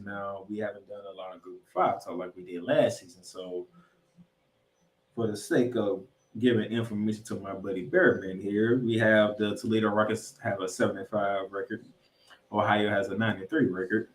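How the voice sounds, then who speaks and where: A man hosting a sports podcast, giving football preview commentary in an announcer-like way. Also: mid-range voice. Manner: announcer-like, addresses listeners as ladies and gentlemen. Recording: roomy echo, distant from mic.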